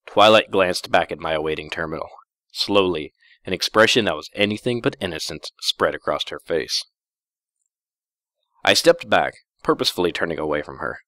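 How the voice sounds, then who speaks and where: Low-voiced man reading fiction, measured. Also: a bit bright, no echo, studio quality. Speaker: story narrator. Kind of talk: dramatic first-person fiction reading.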